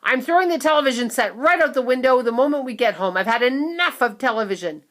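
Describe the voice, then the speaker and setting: A blustering, exasperated father who shouts his complaints, voiced by a woman reading the story. He sounds fed up and loud. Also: medium pitch, expressive, unhurried, voice pushed up. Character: exasperated blustering father, middle-aged man.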